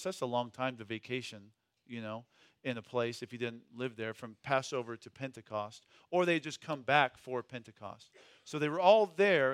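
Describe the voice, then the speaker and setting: A man's voice: mid-range voice, smooth, no room sound, studio quality. A man teaching a Bible study in a conversational way, stressing key words and putting questions to his group.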